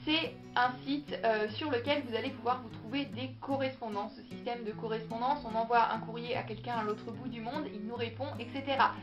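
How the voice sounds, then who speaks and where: A young woman with a slightly high voice talks casually to camera, chatty and upbeat. Her voice rings in an echoey room, and music plays clearly behind her. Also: steady tone, a little fast, few pauses, lo-fi sound. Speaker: vlogger.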